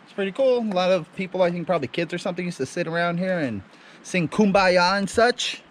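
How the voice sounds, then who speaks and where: Man vlogging outdoors, fairly high voice, excitable, slangy, camera mic. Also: quick pace, slight background noise. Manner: excitable, laughing exclamations, casual slang. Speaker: vlogger, co-host exploring. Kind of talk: casual on-camera exploring chat. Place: outdoors in mountain woods by a river.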